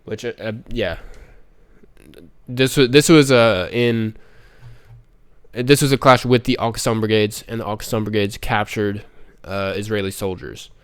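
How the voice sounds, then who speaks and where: A man's voice: fairly low pitch, fairly even intonation, measured pace, pauses often, clean tone. A man with a stuffy, nasal voice from a cold, commenting on the news on a casual stream, rambling with many ums and restarts.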